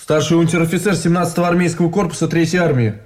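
Actor as a high-pitched, jittery captive soldier. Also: male voice, level tone, very fast, a little mellow, lightly acted. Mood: agitated, bitter. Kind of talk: Russian radio drama acting.

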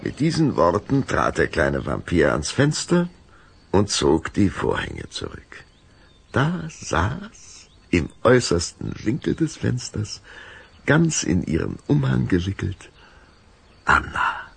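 A man narrating a scripted children's audio drama in a dramatic, expressive storytelling manner. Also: low-pitched, takes it slowly, vocal fry, breathy edge.

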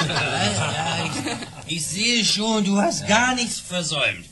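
Unhurried, chummy tavern regular. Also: male voice, sits high, big pitch swings, very noisy, exaggerated voice. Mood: amused, good-natured. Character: good-natured, companionable tavern regular. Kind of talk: German radio play acting.

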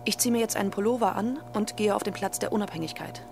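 A woman's voice: mid-range voice, fairly even intonation, quick pace, noisy background. A woman reading dated diary entries in a subdued, weary way. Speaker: reader of diary posts.

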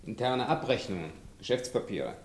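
An actor playing an urbane crime boss and guru in a noir radio drama, measured, polite and condescending. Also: male voice, mid-range voice, very animated, vocal fry, slight background noise. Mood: suave, musing.

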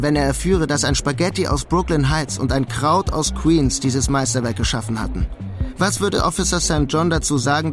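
A man's voice: fairly high pitch, natural voice. An actor playing a sly, resigned young immigrant clerk in a radio drama, wry and understated as he narrates and talks. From Germany. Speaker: narrator and lead character. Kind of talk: radio drama first-person narration and dialogue.

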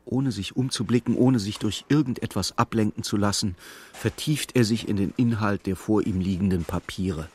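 An actor playing a sober storyteller, narrating a literary radio play in a husky, measured, dramatic way. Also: male voice, lowish voice, a little mellow. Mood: hushed, suspenseful. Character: sober male storyteller following a troubled clerk.

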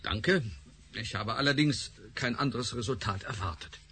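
A man's voice: medium pitch, moderate pace, fairly bright tone, natural voice. A man voices a commanding professor in a radio play, curt and authoritative as he hands out orders. a faint hiss sits behind him. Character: senior professor, commanding male research chief. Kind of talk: radio drama acting.

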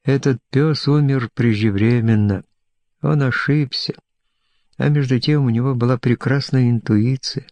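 A man's voice: mid-range voice, a little slow, warm tone, dry recording, close to an everyday voice. A man voicing an aging stage performer in an audiobook, boastful and lonely, rambling on insistently in short, self-assured phrases. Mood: boastful, defiant. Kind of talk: audiobook character dialogue.

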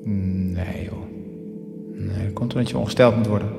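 A man's voice: fairly low pitch, steady tone, normal speed, creaky. An actor playing a blunt, sardonic young husband and father who narrates a radio drama. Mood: soothing. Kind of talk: first-person radio drama narration and dialogue. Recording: radio drama mixed with prominent music. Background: music.

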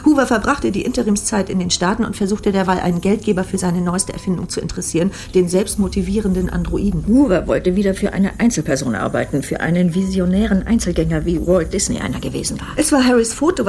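An actress playing a serious, skeptical woman investigator in a radio play, matter-of-fact and deliberate. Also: mid-range voice, expressive, darkish tone, slight background noise, natural voice. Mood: dryly explaining. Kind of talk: radio play acting, storytelling.

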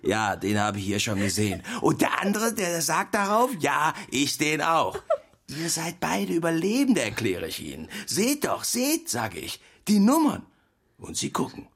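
Animated, husky storytelling husband. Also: male voice, on the high side, a little slow, exaggerated voice. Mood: animated, insistent. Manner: theatrical anecdote telling with quoted dialogue. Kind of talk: radio play storytelling.

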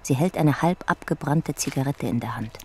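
A woman narrating a scripted radio drama in a measured, matter-of-fact way. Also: a bass voice.

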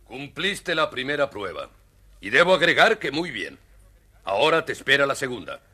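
Un actor que interpreta a un rey severo y autoritario, enfático, en un cuento infantil grabado. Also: male voice, medium pitch, big pitch swings, normal speed, sharp tone, slightly rough, heavily acted. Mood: commanding. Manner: authoritative, emphatic. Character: stern, commanding king setting trials. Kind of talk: children's audio story acting.

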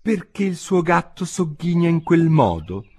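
A man voicing a curious, polite little girl in a storybook reading, earnest and eager, with a husky edge to his voice. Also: fairly high pitch, sing-song, a little slow, darkish tone, voice pushed up, exaggerated voice. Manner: earnest and eager, sometimes flustered.

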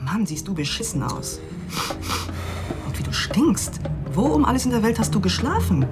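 A woman's voice: mid-range voice, big pitch swings, moderate pace. A woman playing a bossy, exacting lawyer in a German radio drama, with a commanding, withering delivery. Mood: scolding. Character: bossy, exacting female lawyer, brisk and cutting. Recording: music behind the voice. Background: music.

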